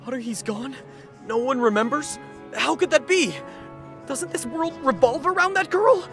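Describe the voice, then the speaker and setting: A performer in an anime fan dub playing a sardonic teenage high-school boy, dramatic and disbelieving. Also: normal speed, music in the background. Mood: panicked.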